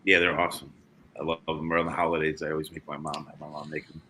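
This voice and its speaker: A deep-voiced man, a guest in a casual chat, talking loosely and mumbling a little, recorded on a laptop in a room with a high ceiling. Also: quick pace. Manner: mumbling, relaxed, says he speaks up. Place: room with twenty-foot ceiling. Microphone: laptop without external mic.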